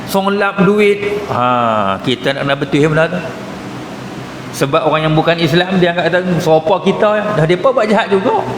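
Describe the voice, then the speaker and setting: A man's voice: on the high side, expressive, moderate pace. Echoey Kedah Malay male religious teacher. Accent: northern Malay, Kedah. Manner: colloquial, explanatory, punctuated with short check-in questions. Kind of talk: mosque lecture explaining a religious text. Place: mosque hall. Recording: echoey hall, reverberant, noticeable background noise.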